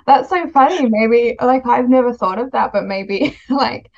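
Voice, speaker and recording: A woman's voice: fairly high pitch, measured pace, vocal fry, highs cut off. A woman hosting a casual book podcast in a chatty, informal way. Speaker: podcast host and children's author.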